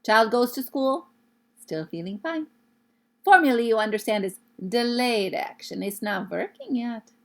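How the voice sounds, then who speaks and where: A woman voicing an imperious, child-hating Grand High Witch for a children's storybook, commanding and emphatic. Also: lively intonation, unhurried, fairly bright tone. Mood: gleefully scheming.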